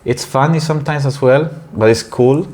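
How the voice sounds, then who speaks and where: A man's voice: medium pitch, moderate pace, a little mellow. A young man from Peru with a Spanish accent, co-hosting a casual podcast chat and talking with many fillers and restarts. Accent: Peruvian Spanish. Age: young adult. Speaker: podcast co-host.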